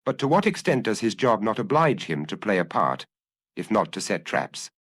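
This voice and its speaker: A man narrates a detective tale in a scripted audiobook style. His voice sits on the low side and moves through lively, varied intonation. The sound is dry, with very little room in it. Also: moderate pace, breathy edge, no room sound.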